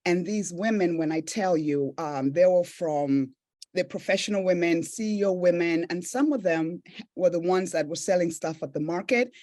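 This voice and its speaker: A woman's voice: fairly low pitch, normal speed, a little mellow. A woman from East Africa, a business owner and coach, telling her personal story earnestly and reflectively as a podcast guest. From Tanzania and Zambia, East Africa. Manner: earnest, reflective, with frequent "right?" tags.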